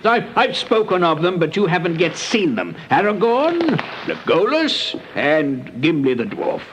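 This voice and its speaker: An old wizard of great authority, stern but kindly. His voice rides high and swings widely, with theatrical declamation fit for a fantasy radio play. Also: big pitch swings, a little slow, a bit bright, a little hiss or hum. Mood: kindly, grave. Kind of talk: fantasy radio drama acting.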